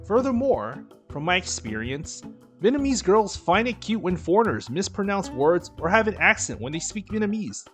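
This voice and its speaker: A man reads his vlog narration in a steady, instructive way, like he is passing on tips. His voice sits a bit high and moves through a lively pitch range. Soft music plays faintly underneath. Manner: steady, instructive, advice-giving delivery. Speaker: dating and language vlogger. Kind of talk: scripted voice-over narration.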